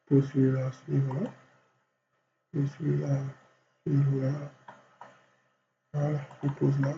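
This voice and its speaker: A man with a cold teaching design software step by step, thinking aloud and filling gaps with constant voilàs. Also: mid-range voice, completely flat delivery, measured pace, gravelly. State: has a cold. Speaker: software instructor. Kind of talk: screen-recorded software tutorial. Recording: dull lo-fi recording with faint hum.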